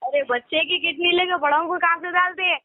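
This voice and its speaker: A fifteen-year-old boy with a Hyderabadi accent speaks in a high voice. He sounds like a caller pleading and protesting in everyday conversation. The recording is a thin, dry phone line. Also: steady tone, fairly quick, no echo. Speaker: caller asking for money. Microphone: telephone. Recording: tinny narrowband phone call recording.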